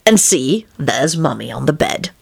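A woman voicing a protective, impatient father who talks bluntly and gruffly, lower than her own voice. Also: normal speed. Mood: soothing.

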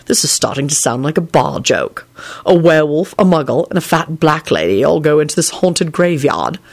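A woman voicing an impassive, sardonic, gruff grown man in an audiobook, dispassionate and muttering. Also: deep voice, expressive, normal speed. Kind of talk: audiobook character voice.

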